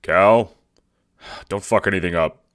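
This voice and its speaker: A performer playing a jaded, sarcastic and irritable teenage boy in an audio drama, deadpan and foul-mouthed. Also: low voice, unhurried, no echo, studio quality, voice pushed up. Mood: exasperated, angry. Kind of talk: audio drama character acting.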